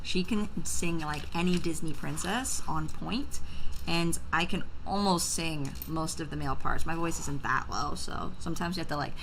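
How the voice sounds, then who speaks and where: A woman's voice: low voice, moderate pace, background noise. A woman hosting a casual livestream, chatting and laughing often while she builds. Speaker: livestream host.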